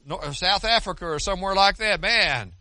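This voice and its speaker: High, bright-voiced older preacher man. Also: a little fast, no room sound. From United States. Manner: earnest, conversational preaching with many restarts and asides. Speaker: pastor. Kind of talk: sermon.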